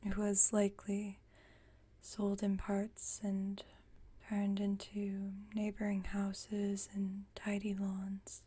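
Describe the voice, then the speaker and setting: A woman playing a gentle, wry, nostalgic vampire roommate in an ASMR roleplay, in a soft, breathy whisper and an unhurried manner. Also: medium pitch, completely flat delivery.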